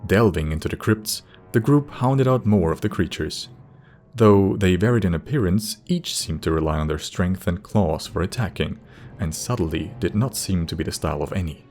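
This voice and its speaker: Male narrator, low-pitched, scripted fantasy storytelling, soft music bed. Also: expressive, moderate pace.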